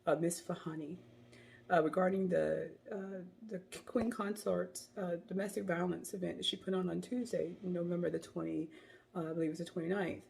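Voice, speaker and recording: Lady vlogging on her phone w a bad cold, hoarse and gravelly, rambles on w her opinions. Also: lowish voice, fairly even intonation, moderate pace, a little mellow, slight background noise. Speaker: commentary vlogger. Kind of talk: casual opinion commentary.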